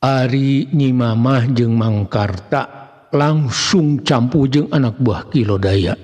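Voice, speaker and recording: Long reverb, male storyteller, Sundanese accent, slow pace, formal measured delivery, scripted dramatic narration. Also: mid-range voice, warm tone, lo-fi sound. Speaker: narrator of Sundanese serial tales.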